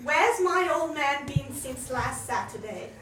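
A young woman plays a brash, nagging woman heckling from a music-hall audience. Her extremely high voice comes from a distance in an echoey space, amid a loud crowd, in radio play style. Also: lively intonation, a little slow. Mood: cheeky, insistent. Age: young adult.

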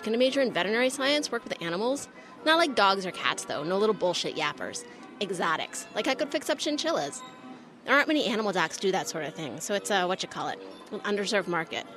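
A woman's voice: medium pitch, big pitch swings, fast, fairly bright tone, soft background music. A woman playing a brash, foul-mouthed young fired ride operator, rambling sarcastically in slang as if interviewed for an audio drama. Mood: casual, enthusiastic. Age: young adult.

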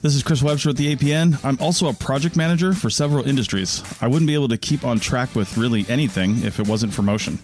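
A man's voice: medium pitch, fast talker, few pauses. A man reading promotional announcements for a podcast network in a plain-spoken, informal way. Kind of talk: promotional read. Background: guitar music.

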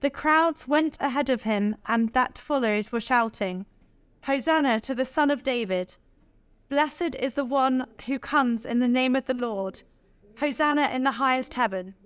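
A woman's voice: high voice, unhurried, clean tone, dry recording. A woman giving a formal reading of scripture as part of a church service. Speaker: scripture reader.

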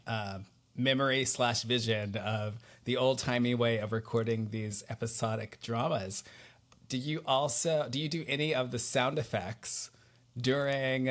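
Man hosting a casual podcast chat. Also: mid-range voice. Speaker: podcast host. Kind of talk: casual conversational interview.

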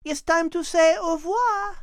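A woman voicing a curious, prim little female cat with a French accent, dainty and gently teasing. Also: extremely high pitch, moderate pace, dry recording, clean studio sound, soft background music.

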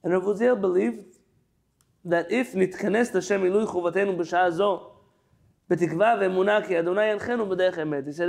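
High, gravelly rabbi teaching online. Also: male voice, darkish tone. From San Diego, California. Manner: digressive, chatty, quotes sources then paraphrases. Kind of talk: conversational Torah class. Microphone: video call.

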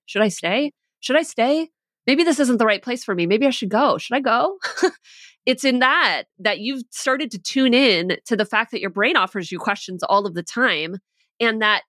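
A woman working as a life coach, explaining ideas in an animated way and acting out the questions that run through one's head. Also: medium pitch, dry recording, clean studio sound. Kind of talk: coaching conversation.

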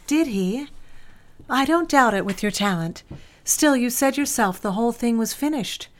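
A woman's voice: fairly high pitch, lively intonation, normal speed, close to an everyday voice. An actress playing a worldly, motherly female office confidante who speaks in a soothing, confiding, gossipy way. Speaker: office coworker and former secretary. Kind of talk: audio drama acting.

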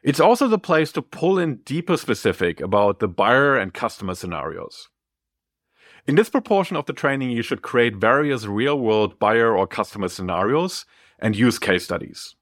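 A man reading a scripted business training article as narrator, in a clearly articulated, instructive way. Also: medium pitch, a bit bright, no echo, studio quality.

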